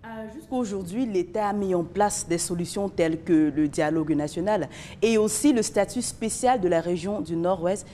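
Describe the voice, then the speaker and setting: Female news interviewer, mellow voice, formal delivery, faint rumble. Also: moderate pace. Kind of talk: broadcast interview.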